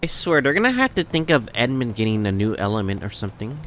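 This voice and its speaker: A man's voice: mid-range voice, very animated, fast, fairly bright tone. A man livestreaming a game with casual, blunt, sweary commentary.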